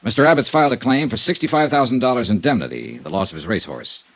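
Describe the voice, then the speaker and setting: Dry, laconic American male insurance investigator, played by a radio actor, probing and persistent, lively inflection, vintage muffled sound. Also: mid-range voice, fast, dry recording, lightly acted. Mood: cool, businesslike. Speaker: lead actor and narrator. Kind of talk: 1950s radio detective drama acting. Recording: dull vintage radio recording.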